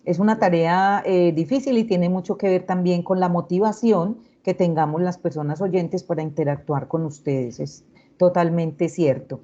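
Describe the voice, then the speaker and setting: A woman hosts a radio interview. Her voice is somewhat low and mellow, and her delivery is thoughtful. The recording sounds a little muffled. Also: normal speed. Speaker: interviewer on a school radio station.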